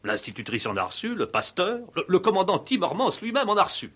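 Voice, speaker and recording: A man's voice: high-pitched, sing-song, quick pace, a bit bright, slightly rough, exaggerated voice. An actor playing a worried village mayor in a radio play, flustered and self-important, stammering and repeating his words. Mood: indignant, worried. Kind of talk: 1960s French radio play acting.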